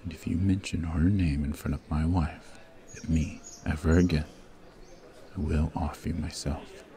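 A man performs a young mafia heir in an ASMR roleplay, stern, cold and used to giving orders. His voice sits extremely low, with a dark, mellow tone and a gravelly creak. He speaks in clipped, menacing commands. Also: fairly even intonation, a little slow. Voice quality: gravelly, very deep. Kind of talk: ASMR roleplay, scripted acting.

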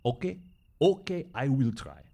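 A protective middle-aged father in a radio drama. He is gruff and disapproving, arguing bluntly with very lively swings of pitch in a medium-pitched voice. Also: a little slow. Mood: indignant. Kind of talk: audio drama acting.

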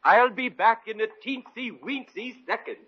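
Jittery, fussy bank teller with husky voice. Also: male voice, extremely high pitch, moderate pace, no room sound. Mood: flustered. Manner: stammering, prissy, fussy. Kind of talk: 1940s radio comedy acting. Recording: muffled old radio broadcast.

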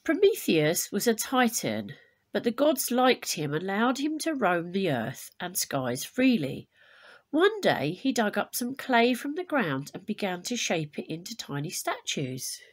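A woman teacher reading a storybook aloud to pupils in an expressive, deliberate way, adding explanations. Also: medium pitch.

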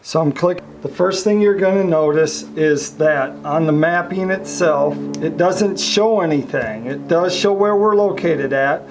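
A man's voice: on the high side, measured pace, few pauses, soft background music. A man walking through the setup of a boat navigation chart card in a casual hands-on demonstration, with many fillers. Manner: relaxed, many fillers, talks through each step. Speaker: marine electronics reviewer.

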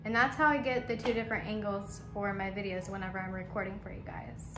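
A woman's voice: mid-range voice, fairly even intonation, quick pace, background noise. A young woman hosting a casual do-it-yourself walkthrough in her home garage, chatty and informal, recorded on a phone camera. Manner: chatty and informal, says y'all. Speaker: painting channel host. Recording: clear background music underneath.

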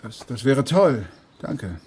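An actor playing an indignant, thrifty husband and friend in a dubbed television sitcom. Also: male voice, mid-range voice, big pitch swings, takes it slowly, mellow, a little hiss or hum. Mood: awkward.

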